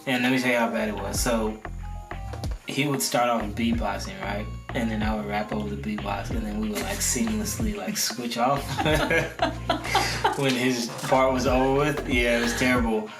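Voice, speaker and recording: A man's voice: medium pitch, normal speed, over music. Laid-back young man, echoey room. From Oklahoma, US. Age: young adult. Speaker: co-host, rapper. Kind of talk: casual couple chat.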